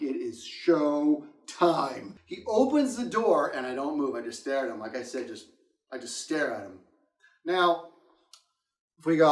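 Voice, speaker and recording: Male storyteller, high and very animated, theatrical casual delivery, recorded off-mic in a roomy space. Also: far from the mic. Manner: theatrical, acts out quotes and reactions. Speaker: storyteller, host of the show. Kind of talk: animated casual anecdote telling.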